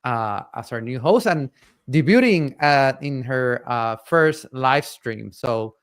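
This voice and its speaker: A man from Puerto Rico hosting a casual tech live stream, talking in halting bursts with lots of fillers and restarts. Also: mid-range voice, lively intonation, smooth. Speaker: community host and guest presenter.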